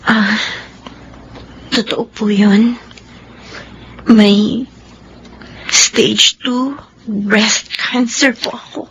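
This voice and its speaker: Young Filipina, radio drama heroine, high-pitched, slow, heartbroken, polite, tearful, self-sacrificing. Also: female voice, fairly even intonation, a bit bright, a little hiss or hum, heavily acted, poor audio. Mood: sad, subdued. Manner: polite, deferential to elders, tearful. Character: heartbroken young woman with breast cancer, proud and self-sacrificing. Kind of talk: Filipino radio melodrama acting.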